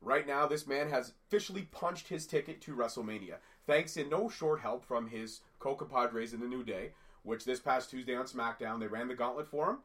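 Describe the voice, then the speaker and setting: Raspy man talking wrestling on a podcast. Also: medium pitch, moderate pace. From Canada. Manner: talkative, rambling, animated. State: raspy from a cold. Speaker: podcast co-host. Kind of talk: casual wrestling podcast chat.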